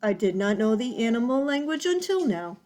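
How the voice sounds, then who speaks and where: A woman voicing a calm, polite female robot that speaks in an even, measured way in a storybook. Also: fairly high pitch.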